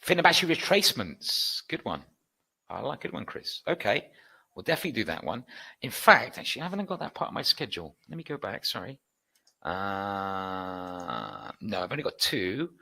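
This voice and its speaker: A British man with a bright, forceful voice runs the question time of a live online trading class. He is chatty and upbeat, reading out viewers' requests and promising future sessions. His talk is loose and warm-hearted, full of quick asides. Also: on the low side, huge pitch swings, gravelly, slightly rough. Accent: British English. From United Kingdom. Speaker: trading educator hosting a webinar. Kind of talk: live webinar Q&A.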